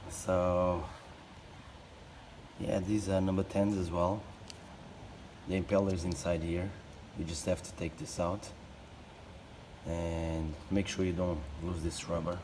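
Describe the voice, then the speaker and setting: A man with a croaky voice casually walking through an engine repair, talking in halting, plain phrases with restarts. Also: deep voice, flat delivery. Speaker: boat owner showing a repair. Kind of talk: casual how-to demonstration. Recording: loud background noise.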